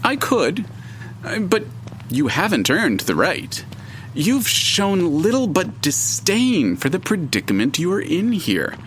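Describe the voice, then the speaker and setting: Pompous omnipresent narrator spirit for audio drama: fussy, precise, highish male voice with wildly animated pitch. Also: slow pace, a little hiss or hum, full character voice. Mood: offended, curt. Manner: pompous, precise, faintly hesitant, florid wording. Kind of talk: full-cast audio drama acting.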